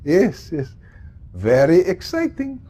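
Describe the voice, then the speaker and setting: An actor playing an excitable, distracted elderly male scientist who talks in halting, rambling bursts in a video game cutscene. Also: fairly high pitch, heavily acted. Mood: bewildered.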